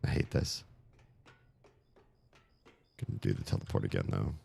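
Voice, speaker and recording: A man streaming a puzzle game, grumbling sarcastically about the puzzles while his voice is going hoarse. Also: very deep voice, moderate pace, dark tone. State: losing his voice. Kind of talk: casual live-stream commentary.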